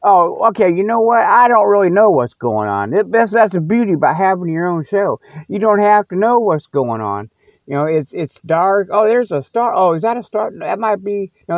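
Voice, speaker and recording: A man's voice: high-pitched, no echo. A man hosting his own radio show, rambling solo in a folksy, colloquial, teasing way. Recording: tinny, band-limited radio sound, very dry.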